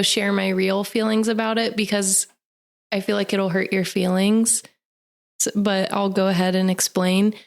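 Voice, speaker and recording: A woman in her thirties talks candidly as a podcast co-host, her mid-range voice full of gravelly fry. She is still getting her voice back after losing it to illness. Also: mid-range voice. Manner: candid, chimes in to finish her partner's sentences. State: voice recovering from laryngitis. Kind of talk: casual chat.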